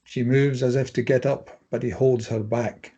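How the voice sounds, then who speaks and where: A man's voice: mid-range voice, fairly even intonation, moderate pace, dark tone, slightly rough, dull audio. A man reading stage directions as scripted narration for a drama table read.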